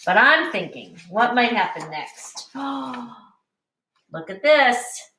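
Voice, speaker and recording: A woman teacher reading a picture book aloud to young children in an expressive, instructive way, pausing to explain and addressing them directly. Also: fairly high pitch, a little hiss or hum. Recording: roomy, echoing, distant microphone.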